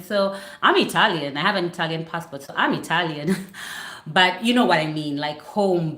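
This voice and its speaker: A woman from Uganda talks casually to her livestream viewers from her living room. Her voice sits fairly low and bounces around an echoey room. She sounds chatty and apologetic, easily sidetracked. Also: a bit bright. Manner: chatty, apologetic, distracted by lighting. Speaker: vlogger hosting a livestream. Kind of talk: casual chat to a live audience. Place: home living room near a Christmas tree. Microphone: computer setup for a livestream.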